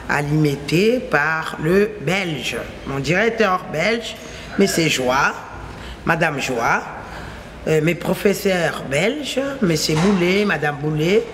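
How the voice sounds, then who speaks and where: A woman's voice: low voice, takes it slowly, a little hiss or hum. A woman from Kinshasa with a Congolese French accent, whose first language is Lingala, giving an emphatic religious testimony and restating her phrases in repetitive lists. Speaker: testifying believer.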